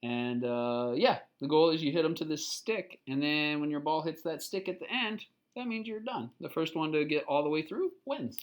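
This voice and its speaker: A picture book reader giving an expressive children's read-aloud, pausing to explain words simply and ask little check-in questions. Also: medium pitch.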